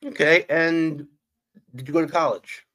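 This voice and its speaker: A man's voice: a little high, fairly even intonation, a little slow, fairly bright tone. A man, a podcast host who teaches history, asking his guest short, plain interview questions.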